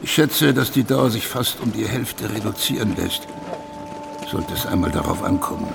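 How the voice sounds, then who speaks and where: An actor playing a matter-of-fact professional executioner in a radio drama, speaking in a measured, officious way. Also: male voice, fairly low pitch, lively intonation, creaky, background noise. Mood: dry, sceptical.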